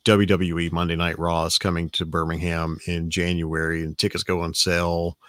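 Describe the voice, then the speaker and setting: A middle-aged man, his voice a little raspy, hosting a podcast and telling a story in a rambling way, repeating his words. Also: low voice, flat delivery, moderate pace, a bit bright, dry recording, studio quality. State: slightly raspy voice. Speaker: podcast host. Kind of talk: casual storytelling chat.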